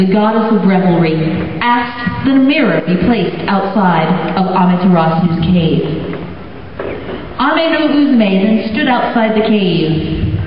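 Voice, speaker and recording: A woman narrating a myth live at a convention event, telling it slowly and drawing out the names. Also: mid-range voice, a little hiss or hum. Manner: slow, deliberate, stretching out names. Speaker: storyteller. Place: convention event hall with audience. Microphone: distant from the recording mic. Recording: very echoey hall, distant, muffled.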